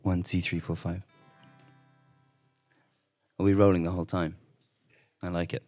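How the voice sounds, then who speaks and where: A man's voice: sits low, flat delivery, measured pace, darkish tone. A man speaking casually and a little hesitantly as a musician introducing his own song. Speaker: musical guest, singer-songwriter. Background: plucked guitar.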